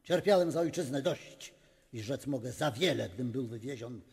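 An actor playing a pompous, indignant aristocratic magnate, declaiming in a radio drama. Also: male voice, mid-range voice, expressive, normal speed, a little mellow, lightly acted. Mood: haughty. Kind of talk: theatrical radio drama acting. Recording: long reverberant hall sound.

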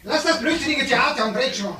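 A high, agitated male crook in a crime radio play. He bullies and commands impatiently, with faint noise behind him. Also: animated, a little fast, pushed and bright, echoey room, heavily acted. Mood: threatening. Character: agitated, bullying male crook demanding papers. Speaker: actor in a crime radio drama.

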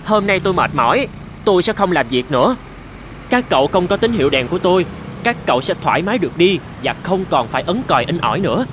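A man playing a gloomy, self-pitying traffic light that longs for freedom, complaining plaintively in an animated fairy tale. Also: high voice, quick pace. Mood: fed up. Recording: music under the voice.

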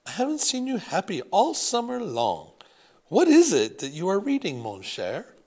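Elderly French grandma, raised pitch, thick French accent, doting but blunt, voiced by a male reader. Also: wildly swooping pitch, normal speed, darkish tone, breathy edge, heavily acted. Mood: doting, puzzled. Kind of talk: audiobook character voice.

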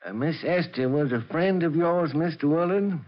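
An actor in a 1940s radio drama playing an excitable, bemused professor with an exclamatory manner. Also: male voice, on the high side, moderate pace, lightly acted. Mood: curious. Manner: animated, exclamatory. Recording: muffled old radio recording.

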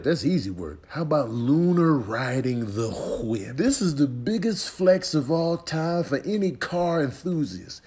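A man's voice: medium pitch, darkish tone. Slangy, incredulous male comic commentator. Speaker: comedy voiceover host. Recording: voiceover with faint background noise.

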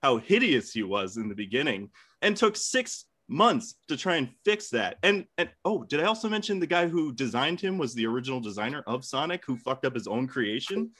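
Animated, sweary man arguing. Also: medium pitch, a little fast. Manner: sweary, blunt. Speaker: debate contestant. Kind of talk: informal comedic debate argument. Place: home room, indoors.

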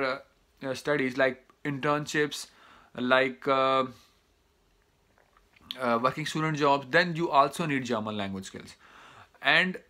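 A man's voice: on the high side, pushed and bright. A man in his thirties with a Pakistani accent, a native Urdu speaker, giving advice to students in a casual talk to camera, hesitant, with many "uh" fillers and restarts. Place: small indoor room, plain wall.

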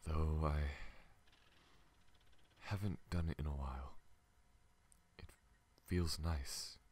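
Very deep, gentle alien played by a man. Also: takes it slowly, slight background noise. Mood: shy, affectionate. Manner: hesitant, tender, soft-spoken, often breathing into whispers. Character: gentle, shy, apologetic male alien with a tail. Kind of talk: ASMR roleplay, intimate scripted acting.

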